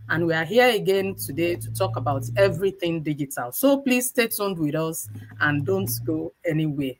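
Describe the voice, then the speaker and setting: A woman from Nigeria co-hosts a live online session, her voice sitting lower than most. She speaks in a measured, courteous manner. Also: lively intonation, a little hiss or hum, rough recording. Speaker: co-host. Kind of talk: live stream introduction.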